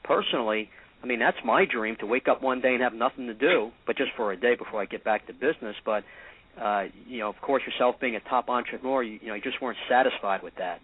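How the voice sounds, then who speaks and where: A male co-host chats casually in an interview, stumbling over repeated words and filler phrases. He talks fast in a raspy voice, over narrow phone-like radio sound. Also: mid-range voice. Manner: halting, repeats words, leans on "you know".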